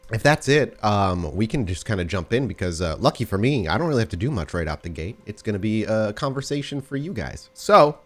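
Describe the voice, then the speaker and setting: A man's voice: fairly low pitch, big pitch swings, brisk, few pauses. A man who hosts and narrates a tabletop role-play podcast as its storyteller. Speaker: storyteller and host. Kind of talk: tabletop game narration and casual podcast chat.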